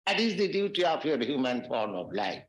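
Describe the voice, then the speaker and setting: A man giving a spiritual lecture in measured, halting phrases and chanting Sanskrit verses. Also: mid-range voice, big pitch swings, reverberant. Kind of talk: recorded philosophy lecture.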